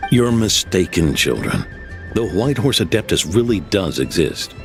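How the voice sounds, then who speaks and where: A lowish, expressive voice of a proud old innkeeper, grave and lecturing. His pitch rises and falls dramatically as he tells the tale. Soft music sits in the background. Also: measured pace, gravelly, full character voice. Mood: storytelling. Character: elderly male innkeeper, proud and stubborn storyteller. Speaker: guest house keeper. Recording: game audio with faint music.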